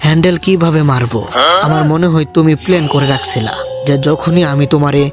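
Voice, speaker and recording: Guy doing old anime villain, highish voice thru voice changer, boastful n teasing, music playing. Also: fast talker. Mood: surprised, scornful. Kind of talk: comic amateur anime parody dub.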